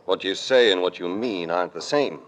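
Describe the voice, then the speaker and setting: An actor playing a cool, tough American investigator, narrating and talking in a wry, clipped hardboiled 1940s radio drama style. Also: male voice, low-pitched, moderate pace, lightly acted. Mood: cool, steady. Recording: noisy old radio broadcast recording.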